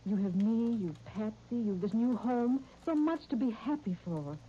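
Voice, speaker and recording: A woman acting in 1930s radio soap style as a patient, devoted, gently firm young wife, soothing and earnest as she reasons. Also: fairly high pitch, lively intonation, normal speed, close to an everyday voice. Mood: reproving, earnest. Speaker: radio actress. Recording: dull, noisy 1930s radio recording.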